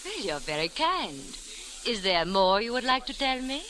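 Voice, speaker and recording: Alluring female spymaster, poised and formal, lively sharp voice, vintage radio thriller. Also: fairly high pitch, moderate pace, rough recording. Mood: seductive, poised. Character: alluring, commanding female foreign intrigue ringleader. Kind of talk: old radio drama acting. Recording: hissy old-time radio recording.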